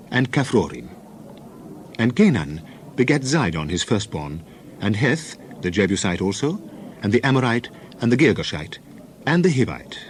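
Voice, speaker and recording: A man's voice: lowish voice, a little hiss or hum. A man narrating dramatized scripture, declaiming it in short, separated phrases.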